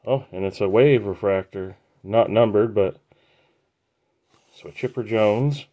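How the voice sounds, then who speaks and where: A man with a fairly low voice talks slowly as he opens trading card packs for his viewers. He names each card plainly and unhurriedly, with the easy pace of a regular card-break host. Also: warm tone, lo-fi sound. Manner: slow, unhurried, matter-of-fact listing. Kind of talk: casual pack-opening commentary.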